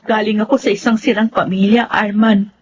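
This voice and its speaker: A woman's voice: mid-range voice, level tone, normal speed, darkish tone, slight background noise, close to an everyday voice. An actress playing a sorrowful, self-sacrificing young woman in love, earnest and melodramatic in a Filipino radio drama. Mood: resigned, hurt. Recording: muffled lo-fi audio. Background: music.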